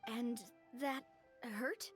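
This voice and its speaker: High, playful young lady. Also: drawn-out words. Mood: curious, teasing. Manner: playful, teasing, expressive. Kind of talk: video game voice acting. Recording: faint music behind the voice.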